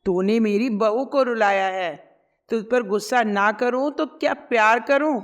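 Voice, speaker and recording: A woman's voice: a little high, measured pace, highs cut off, close to an everyday voice. Woman doing a scolding stern mother-in-law. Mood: angry. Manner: scolding, commanding. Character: stern, protective middle-aged mother-in-law.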